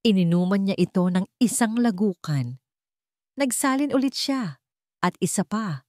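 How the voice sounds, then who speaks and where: A woman narrating scripted dramatic fiction in a measured, suspenseful way, with deliberate pauses. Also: mid-range voice, animated, darkish tone, no echo, studio quality. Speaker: story narrator.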